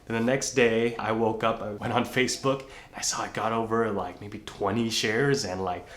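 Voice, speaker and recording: A man who grew up in Indonesia, telling a story in a relaxed, self-deprecating vlog while out on a run. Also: lowish voice, normal speed, echoey room, slight background noise. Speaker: vlogger. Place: outdoors on a nice day.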